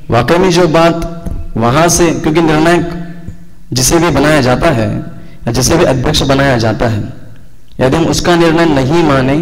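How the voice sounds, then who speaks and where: A man judging a devotional song competition, giving a formal, polite speech on stage with a hoarse voice. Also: mid-range voice. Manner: polite, formal and slow-paced. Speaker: competition judge. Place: hall at a live stage event. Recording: long hall reverb.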